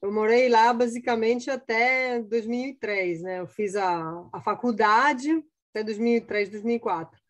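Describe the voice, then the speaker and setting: A woman's voice: on the high side, a little slow. A woman from Italy in her forties speaking with an Italian accent, chatting casually as a guest scientist with many fillers and restarts. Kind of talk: casual interview answers.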